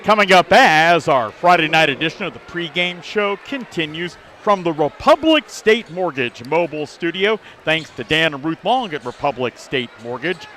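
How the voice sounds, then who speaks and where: High-voiced male sports host, noisy gym. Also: lively intonation, normal speed, few pauses, pushed and bright. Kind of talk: sports pregame broadcast. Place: busy high school gym. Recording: noisy gym with bouncing basketballs.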